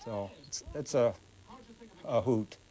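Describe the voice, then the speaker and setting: A man's voice: medium pitch, slow, warm tone. An older man with an American accent, casually reminiscing as an interviewee. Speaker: interviewee, hobby collector.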